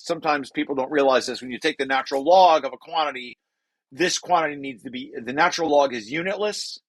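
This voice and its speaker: A man presenting a technical paper, reading passages aloud and breaking off into informal, halting explanations with repeated restarts. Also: a little high, fairly bright tone, slightly rough, no echo.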